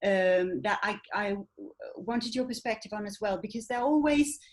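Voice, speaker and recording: Danish-native woman host, mid-pitched, measured, affirming interviewer. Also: lively intonation. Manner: affirming, thoughtful, quotes others in quick asides.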